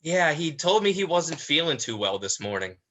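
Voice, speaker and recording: Man playing bright-voiced, polite elder brother. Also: fairly high pitch, big pitch swings, normal speed. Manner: polite, hesitant, restrained. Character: polite, apologetic elder brother, slaughterhouse carver. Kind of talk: dramatized script reading.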